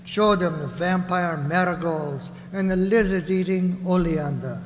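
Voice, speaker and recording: A man playing a scheming onion ruler in a radio play, courteous and pompous with a smooth menace. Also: high-pitched, measured pace, a little hiss or hum. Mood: smoothly threatening. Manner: pompous, mock-genteel menace. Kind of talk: theatrical radio drama acting. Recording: echoey hall with long reverb.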